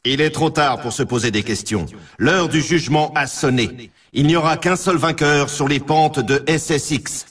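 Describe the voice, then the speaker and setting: A man's voice: on the high side, breathy edge, distant mic. A man playing a grandiose, emphatic announcer for an extreme snowboarding championship in a video game. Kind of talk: scripted dramatic sports game announcing.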